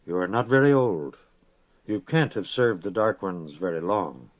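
A man voicing a grave, calm grown wizard who speaks quietly and gently, in a storybook reading. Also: sits low, measured pace. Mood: gentle. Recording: dull lo-fi recording.

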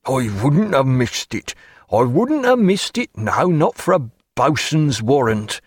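A man voicing a gruff old sailor servant. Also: mid-range voice, very animated, a little slow, no room sound, studio quality. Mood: gleeful.

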